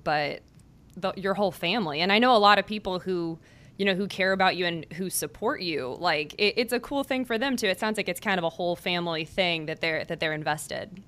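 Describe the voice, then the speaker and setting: A woman's voice: lowish voice, fairly bright tone. A young woman, a sports reporter hosting a podcast, asking questions in a friendly, chatty way and laughing easily. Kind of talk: conversational interview.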